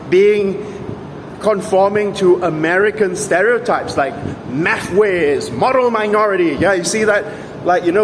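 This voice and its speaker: A man's voice: high-pitched, background noise. A man giving an opinionated, sarcastic monologue for his vlog, with an emphatic and argumentative way of talking. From Singapore. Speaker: vlogger.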